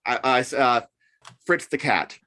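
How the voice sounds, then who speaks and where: Guy with a bright punchy voice, medium pitch, explaining stuff in a casual chat. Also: unhurried, dry recording, studio quality.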